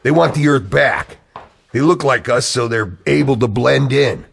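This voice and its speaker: An actor playing an agitated, earnest man who believes in a dark conspiracy, in a radio-style drama. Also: medium pitch, unhurried, creaky, a little hiss or hum. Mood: grave, insistent. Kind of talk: scripted radio-play acting.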